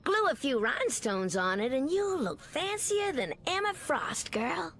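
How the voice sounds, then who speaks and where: A proud Southern American superheroine for a video game. Her voice is on the high side and gravelly at the edges, swinging in pitch as she sasses and sweet-talks. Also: very animated, a little slow, fairly bright tone, exaggerated voice. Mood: sassy, confident. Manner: sassy, folksy, uses pet names. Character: sassy, flirty, proud Southern superheroine, loyal wife.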